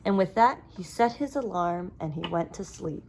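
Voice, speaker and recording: A woman reading a children's storybook aloud as narrator, with expressive storytelling. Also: fairly low pitch, moderate pace, background noise. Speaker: storybook reader.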